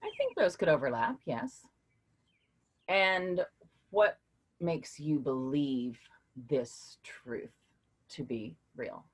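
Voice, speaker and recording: A woman's voice: sits low, slow, long pauses, slightly rough, exaggerated voice. An actress playing a patient woman philosophy professor leading a class discussion in a staged play reading. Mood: teacherly. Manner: lively, questioning, teacherly.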